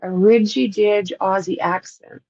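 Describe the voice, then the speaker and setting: A woman's voice: medium pitch, fairly even intonation, a little slow, darkish tone, no room sound. Chatty Canadian woman, slightly muffled. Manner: rambling, chatty, laughs often. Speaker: livestream host. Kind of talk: casual chat with live viewers.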